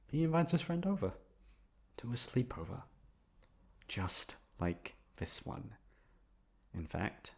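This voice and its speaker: A man acts out an obsessive, lovesick young man in an ASMR roleplay. The voice is low and close, swinging widely between hushed, wounded confession and jealous intensity, with a soft-spoken menace. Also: breathy edge, heavily acted. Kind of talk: intimate ASMR roleplay monologue.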